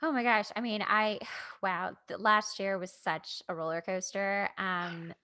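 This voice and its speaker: Woman, mid-range pitch with noticeable vocal fry, casual conversational podcaster who rambles through asides and quoted dialogue. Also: fairly even intonation, a little slow, sharp tone. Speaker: podcast host and aspiring coach. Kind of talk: casual chat.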